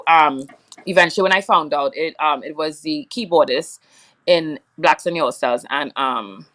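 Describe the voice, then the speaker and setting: Low-voiced woman with a Trinidadian accent. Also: normal speed, a bit bright. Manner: rambling, many fillers, laughs often. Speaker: soca singer, guest. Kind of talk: casual interview chat.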